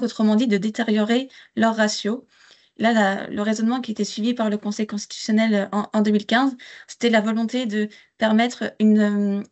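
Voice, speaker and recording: A woman explains technical legal points as an expert guest. Her voice is somewhat high with very little melodic movement. She phrases things carefully and formally. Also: darkish tone.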